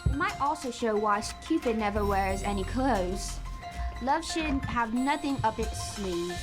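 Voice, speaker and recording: A woman's voice: fairly high pitch, animated, a little slow. A woman playing a gracious, witty professor's wife in a comedic radio drama, polite and lightly wry. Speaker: student radio drama actor. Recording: music behind the voice.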